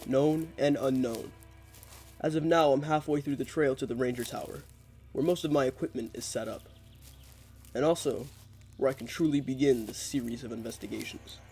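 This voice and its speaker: A man's voice: mid-range voice, dark tone, natural voice. A man playing an investigative journalist who records a musing, wry field log for an audio drama. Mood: scene-setting. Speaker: journalist narrator of a mystery series.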